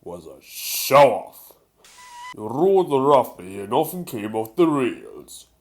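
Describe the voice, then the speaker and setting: A man's voice: mid-range voice, sing-song, takes it slowly. A man voicing a proud, stern old steam engine in a storybook, with a Welsh accent and a pompous, scolding manner. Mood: grave. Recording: music behind the voice.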